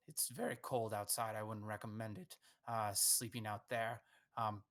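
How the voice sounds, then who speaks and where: A man voicing an old male mouse elder who speaks with measured, courteous caution. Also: medium pitch, a bit bright, close to an everyday voice. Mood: polite. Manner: courteous, measured, slightly weary.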